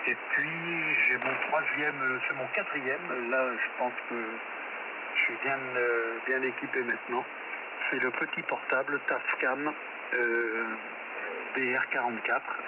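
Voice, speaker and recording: A man, chatting casually as an amateur radio operator over shortwave, rambling hesitantly with frequent pauses and self-corrections. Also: mid-range voice. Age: 70s. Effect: shortwave radio transmission. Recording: narrow-band shortwave radio with loud static.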